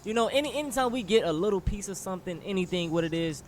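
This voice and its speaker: A man in his twenties with a high, very lively voice delivers casual reaction commentary. He is slangy and emphatic, with faint noise behind him. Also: fast. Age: 20s. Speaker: reaction video host.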